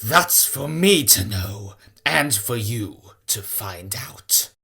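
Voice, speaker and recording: Slow, creaky cartoon villain leader. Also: male voice, fairly low pitch, animated, pushed and bright, full character voice. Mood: cold, scheming. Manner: slow, menacing, imperious. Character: sinister tyrannical male villain leader, hissing and menacing. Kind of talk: amateur fan-dub animation acting.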